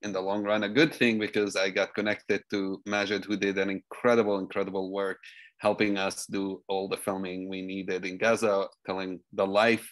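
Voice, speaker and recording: A man's voice: low-pitched, level tone, moderate pace, no echo, low-quality recording. Man hosting a video call panel. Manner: warm, informal asides to guests. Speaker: moderator. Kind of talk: introducing a guest on a video panel. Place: home room with bookshelves. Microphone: laptop webcam on a video call.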